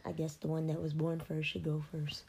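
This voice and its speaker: A woman's voice: low-pitched, completely flat delivery, brisk, gravelly, a little mellow, husky. A young adult woman, the host's sister, talking as a guest with dry, sarcastic, playful remarks.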